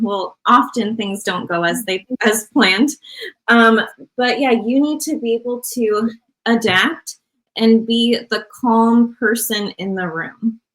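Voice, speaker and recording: A woman who works in medical device sales, speaking as a podcast guest in a relaxed, rambling way full of fillers. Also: mid-range voice, low-quality recording. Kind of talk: casual podcast conversation.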